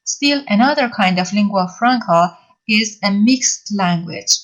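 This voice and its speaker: Woman lecturing with frequent pauses. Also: medium pitch, expressive. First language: Persian. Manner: halting, many fillers, explains step by step. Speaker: university lecturer. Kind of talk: online lecture.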